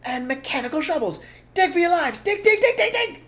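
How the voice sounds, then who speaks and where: A man doing a frantic, shouting Mister Fox. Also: very high voice, sing-song, fairly quick, voice pushed up, full character voice. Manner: shouting, breathless urgency. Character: frantic father fox urging his family on.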